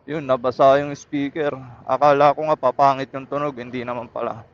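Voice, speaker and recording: A man's voice: mid-range voice, flat delivery, moderate pace, clean tone. A man with a Filipino accent chatting casually as a motovlogger, talking through a helmet intercom microphone while riding. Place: outdoors on a motorcycle on the road. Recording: muffled helmet intercom mic.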